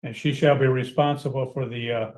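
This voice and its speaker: A man presiding as judge, working through rulings one at a time. His voice sits at an ordinary pitch, and his delivery is formal and measured. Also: fairly even intonation, darkish tone. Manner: measured, formal, reads out orders. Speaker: judge in a court hearing.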